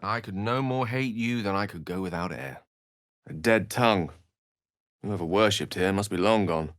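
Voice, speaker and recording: An actor playing an eloquent, wry, romantic scholarly wizard in a fantasy video game, speaking in an articulate, theatrical way. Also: male voice, fairly low pitch, normal speed, a bit bright, no echo, clean studio sound, close to an everyday voice. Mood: earnest, wry, then musing.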